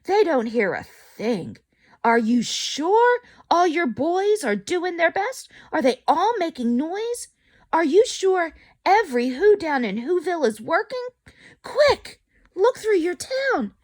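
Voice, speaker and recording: Casting: brave, kindly elephant, acted by a woman, high and very animated, urgent storybook hero. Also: slow, full character voice. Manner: earnest, urgent, encouraging. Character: kind, brave, earnest male elephant.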